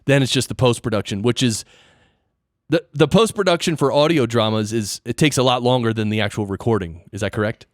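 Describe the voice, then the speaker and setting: A man chats as a podcast host in a very dry, close recording. His pitch sits in the middle for a male voice. He explains things informally and often turns a point into a question for his guest. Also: fairly quick, dry recording. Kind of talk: casual interview chat about audio gear and production.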